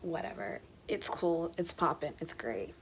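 A teenage girl chatting casually to viewers in a vlog, her voice a bit raspy, filmed on a phone held close in a small bedroom. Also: deep voice, lively intonation, unhurried, a little hiss or hum. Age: late teens. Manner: chatty, rambling, addresses viewers as guys. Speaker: vlogger. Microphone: phone held close at arm's length.

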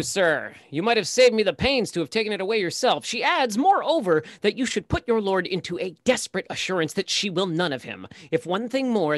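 A man's voice: sits high, a bit bright, dry recording, exaggerated voice. A man playing a haughty, prim, scolding steward in a Shakespeare play reading. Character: haughty, prim male steward delivering a ring. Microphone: video call.

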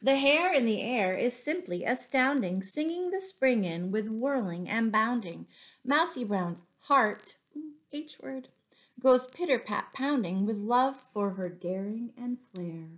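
A middle-aged woman reading picture books aloud expressively to young children, pausing to explain words. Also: fairly high pitch, lively intonation. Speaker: children's storyteller and teacher. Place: small room at home, indoors.

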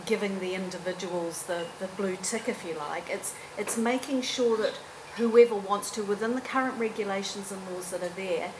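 A woman in her forties speaks plainly and firmly at a public panel. Her voice sits at a middle pitch. A steady hum of the room sits beneath it. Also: moderate pace, hardly any pauses. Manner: direct, opinionated. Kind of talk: panel discussion. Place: university lecture room. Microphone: table microphone.

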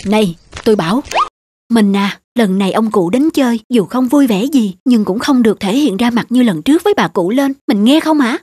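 A woman voicing a scheming, greedy married daughter in an animated folk tale, with a sly, nagging way of talking. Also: fairly high pitch, moderate pace, breathy edge, no room sound, faint music behind. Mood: sly, calculating.

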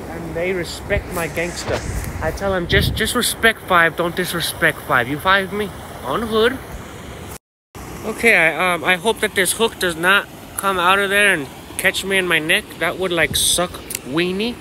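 An American man with a notably high voice rambles casually in slang and swearing, full of swagger. He is talking into a phone outdoors, with light ambient noise behind him. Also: a little slow, sharp tone, rough recording. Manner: slangy, profane, boastful, with repeated catchphrases. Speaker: vlogger. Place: outdoors on a dirt path by a canal. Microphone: phone held at arm's length.

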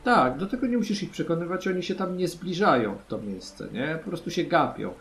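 A man narrating a tabletop role-playing game as game master, haltingly, often checking in with his players. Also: on the high side, animated, a bit bright, a little hiss or hum. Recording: music under the voice. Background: background music.

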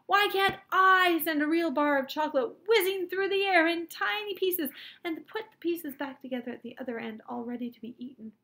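A woman's voice: high voice, expressive, fast talker, few pauses, bright, forceful tone, voice pushed up. A woman reading a storybook as a brash, argumentative boy who challenges everything insistently. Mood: insistent, demanding.

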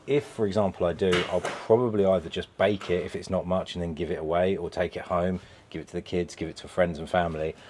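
A man's voice: sits low, fairly even intonation, fast, hardly any pauses, darkish tone, a little hiss or hum. A British man in his thirties, a pizza van owner, chatting casually in a vlog from inside his food van, rambling with lots of ums and restarts. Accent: British English. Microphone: lapel mic, camera on a shelf.

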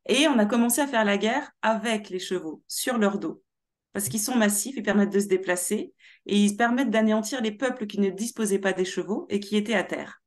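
A young woman from Paris with Martinican roots, speaking as a guest in an animated interview over a video call. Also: mid-range voice, fairly quick. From Martinique heritage, born in Paris. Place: small room at home.

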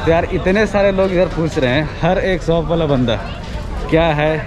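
A man's voice: fairly high pitch, normal speed, a crowd in the background. A young man, chatting casually to viewers as he vlogs outdoors through city streets and a market. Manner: chatty, addresses viewers as guys while walking. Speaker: travel vlogger. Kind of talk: casual travel vlog narration. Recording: loud street and traffic noise. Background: traffic and street noise.

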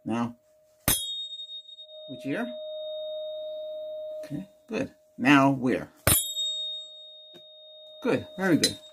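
A man's voice: medium pitch, sing-song, very slow, long pauses. Older man in noisy ASMR doctor roleplay. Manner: short prompting questions and instructions to a patient. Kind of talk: ASMR medical exam roleplay. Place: small indoor room with cloth backdrop.

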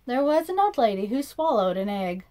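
A woman in her thirties reading a rhyming storybook aloud to children in an expressive, sing-song way, recorded in a room at about arm's length from the device. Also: fairly high pitch, moderate pace. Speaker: children's read-aloud host. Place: small indoor room.

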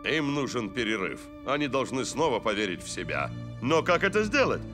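An actor playing a stern, level-headed middle-aged soccer coach in a cartoon dub. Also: male voice, medium pitch, very animated, brisk, lightly acted. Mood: serious, concerned. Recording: background music under the voice.

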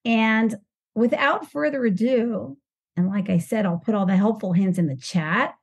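A woman hosts a podcast from a video call. Her pitch sits in the ordinary middle range, and she talks in an upbeat, chatty, unhurried way as she opens the show and welcomes a guest. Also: breathy edge, muffled recording. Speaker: podcast host. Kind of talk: warm welcoming introduction over video call.

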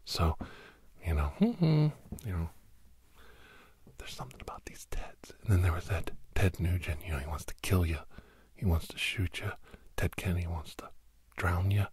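A middle-aged man in a whispered ASMR podcast monologue, breathy and secretive, rambling haltingly and repeating words, close to the mic. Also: animated, a little mellow. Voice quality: breathy whisper breaking into low murmur. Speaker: podcast host.

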